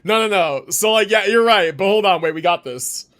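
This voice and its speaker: A man's voice: high-pitched, expressive, moderate pace, a bit bright. A man doing casual live game commentary, thinking aloud in slangy, sweary language. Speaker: game livestreamer. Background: game music.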